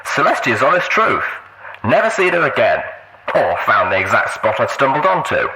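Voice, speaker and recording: A man voicing a showy pony radio DJ who proclaims to faithful listeners in drawn-out phrases, in an audiobook. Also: medium pitch, sing-song, pushed and bright, voice pushed up, low-quality recording. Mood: storytelling, showy.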